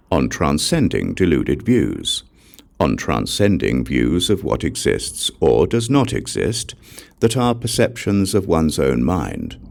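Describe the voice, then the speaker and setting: Very deep-voiced man reading scripture. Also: creaky. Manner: measured, deliberate reading with formal phrasing. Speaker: audiobook narrator. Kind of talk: scripted narration of a Buddhist scripture.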